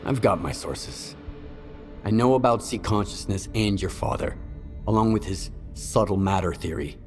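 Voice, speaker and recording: Man as a low-voiced, guarded loner. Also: normal speed, darkish tone, faint music behind, lightly acted. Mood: grave. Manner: terse, grave, matter-of-fact. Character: guarded, blunt male mercenary survivor, wary and cynical. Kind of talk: dramatic video game cutscene acting.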